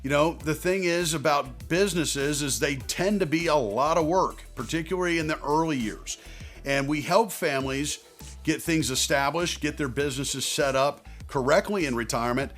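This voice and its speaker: Higher-pitched male financial advisor over music. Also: normal speed, a bit bright. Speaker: financial advisor and video host. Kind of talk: direct-to-viewer advice talk.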